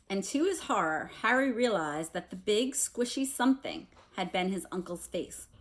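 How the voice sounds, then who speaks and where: A woman reading a children's novel aloud like a teacher, expressively, with casual asides. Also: fairly high pitch.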